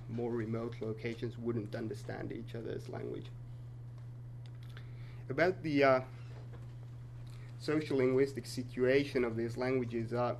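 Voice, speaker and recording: A man's voice: medium pitch, darkish tone, slight background noise. Hesitant male academic presenter, native Sami speaker. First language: North Sami. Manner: measured, hesitant, many filler pauses. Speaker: conference presenter. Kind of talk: academic conference talk.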